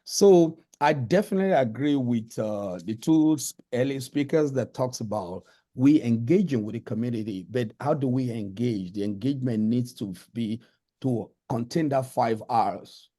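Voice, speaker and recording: A man speaks as a panel guest in a mellow, relaxed tone. He is earnest and pauses to search for the right words. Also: mid-range voice. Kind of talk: panel discussion.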